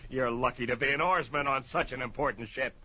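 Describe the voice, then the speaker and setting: A gloating slave-ship deckmaster acted by a man for a fantasy radio play. His forceful, cutting voice mocks and orders people about. The recording is dull with faint noise. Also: medium pitch, exaggerated voice. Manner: mocking, barking orders. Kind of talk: pulp fantasy audio drama acting. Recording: dull, lo-fi, slightly noisy.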